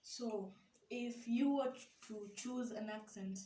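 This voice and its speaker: Young woman, fairly high voice, animated, room echo. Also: slow. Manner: lively, animated storytelling. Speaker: vlogger's sister. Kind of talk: casual sibling tag chat. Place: small bare room indoors. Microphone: camera across the room. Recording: echoey room, distant mic, faint hiss.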